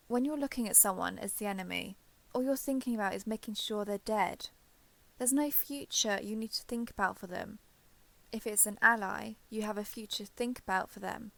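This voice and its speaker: Woman acting a shy, guarded ex-villain, creaky-voiced. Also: mid-range voice, fairly bright tone. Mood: grudging, defensive. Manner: halting, defensive, awkwardly sincere. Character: shy, guarded former villain woman turned ally, awkward and flustered. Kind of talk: intimate romance audio roleplay.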